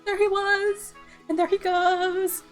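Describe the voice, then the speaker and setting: Female let's-player, excitable and gushing, narrating a visual novel over faint music. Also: extremely high pitch, slow. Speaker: host of a gaming channel. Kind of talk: let's play commentary and reading game narration aloud. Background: soft game music.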